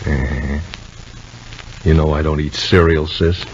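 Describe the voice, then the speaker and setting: A man's voice: very deep voice, fairly even intonation, measured pace. An actor playing an easygoing, protective married man in a 1950s radio soap opera, talking in a folksy, conversational way. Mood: cheerful, teasing. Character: Bill, easygoing married man and protective older-style brother. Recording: dull, noisy old radio recording.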